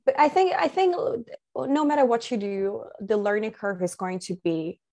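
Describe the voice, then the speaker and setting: A woman's voice: medium pitch, moderate pace, dark tone. A woman from Finland, speaking as a podcast guest in a casual, reflective, earnest way. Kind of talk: casual conversation.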